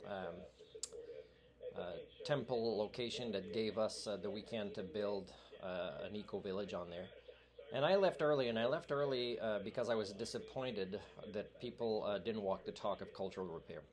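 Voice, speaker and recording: A man's voice: on the low side, normal speed. A man whose first language is French, talking in a rambling, earnest vlog monologue full of fillers and restarts. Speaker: vlogger. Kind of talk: rambling monologue addressed to a radio host.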